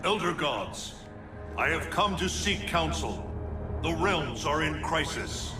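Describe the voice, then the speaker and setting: An actor playing a solemn, noble immortal thunder god in a video game, speaking in grave, formal phrases. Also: male voice, a little high, sing-song, slow pace, music in the background. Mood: troubled, reverent. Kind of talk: video game cutscene acting.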